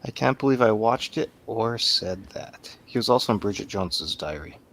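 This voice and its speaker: Lower-pitched man in casual chat. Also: animated, moderate pace, a bit bright. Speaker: co-host or guest. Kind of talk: casual chat, film review.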